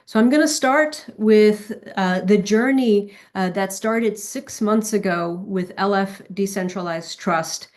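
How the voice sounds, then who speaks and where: Woman, European Portuguese accent, mid-pitched, fluent keynote speaker on a video call. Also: slow pace, few pauses. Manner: warm, fluent, switches between Portuguese and English. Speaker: keynote speaker, foundation executive. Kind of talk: online conference presentation.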